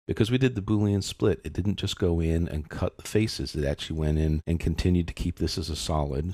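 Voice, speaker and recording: A man narrating a software tutorial in a casual, methodical way, explaining each step as he goes. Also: low voice, gravelly, no room sound, clean studio sound. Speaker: software instructor.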